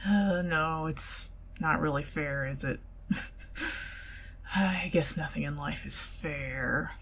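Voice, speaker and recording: A woman with a Southern US accent talks close and soft, drifting in and out of whispers as if on a quiet date. Her voice sits low and husky, with a gravelly fry in it, and she takes her time with every phrase. She sounds middle-aged and unhurried. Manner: intimate, soft-spoken, giggly, partly whispered. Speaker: ASMR roleplay performer. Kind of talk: ASMR roleplay, soft-spoken date.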